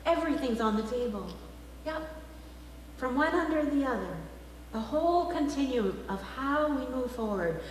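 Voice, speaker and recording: A woman minister preaches in a large, very reverberant church space with faint noise behind her. Her voice sits a little high. She phrases emphatically, with deliberate pauses in the manner of a sermon. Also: expressive. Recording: very echoey hall, faint background noise.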